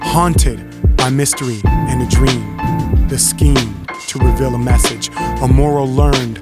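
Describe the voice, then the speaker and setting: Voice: mid-range voice, takes it slowly, loud music behind the voice. A rapper delivering rhythmic spoken-word verse as a theme song. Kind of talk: spoken-word rap over a beat. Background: loud hip hop beat.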